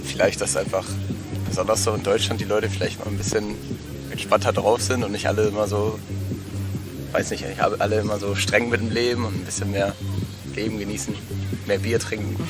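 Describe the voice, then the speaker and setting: A young man with a fairly low voice chats in a laid-back, colloquial manner. He is outdoors in a park, with loud music behind him. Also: flat delivery, brisk. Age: young adult. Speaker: interviewee. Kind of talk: casual chat.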